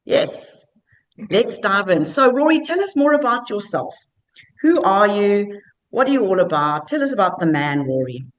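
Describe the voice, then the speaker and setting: A woman's voice: mid-range voice, sing-song, moderate pace, low-quality recording. A woman hosting a business interview podcast, introducing her guest with upbeat, affirming remarks. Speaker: podcast host.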